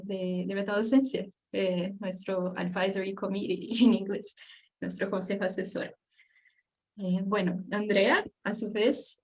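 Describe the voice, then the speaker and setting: A woman's voice: mid-range voice, a little mellow. A woman hosting an online panel, introducing the speakers with many hesitant fillers. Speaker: event host.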